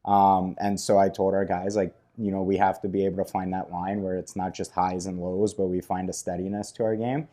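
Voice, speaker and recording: A man, a head coach whose first language is Russian, answering in a casual sports interview in an office, relaxed with many fillers. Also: low-pitched, a little fast, few pauses. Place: coach's office at an ice rink.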